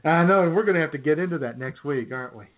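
A man co-hosting a casual comedy podcast, wry and self-described cynical, often clearing his throat. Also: on the high side, animated, racing. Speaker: podcast co-host. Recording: tinny phone-like audio.

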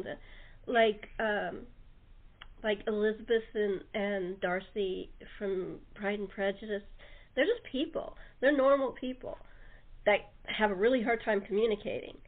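A woman's voice: mid-range voice, normal speed. A woman hosting a casual radio chat, rambling and often restarting her sentences as she goes. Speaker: radio show host. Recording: muffled narrow-band call-in audio.

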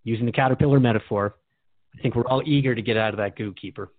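A man's voice: medium pitch, quick pace, no echo. A man speaking as a radio host in a conversational drama dialogue, reflective and earnest. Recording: dry, narrow phone-like sound.

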